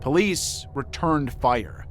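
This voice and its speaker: A man reading scripted true-crime documentary narration in a measured, serious manner. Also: mid-range voice, lively intonation, soft background music.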